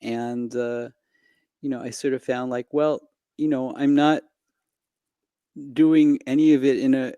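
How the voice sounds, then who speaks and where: A middle-aged man from Los Angeles talks as a guest on a video call, using a headset and desk mic. His voice is mellow and dry, with no room sound. He speaks slowly, with frequent pauses and restarts. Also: medium pitch, steady tone, smooth, no echo, highs cut off. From Los Angeles, United States. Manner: hesitant, many fillers and restarts. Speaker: singer guest. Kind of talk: reflective conversation. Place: home room indoors.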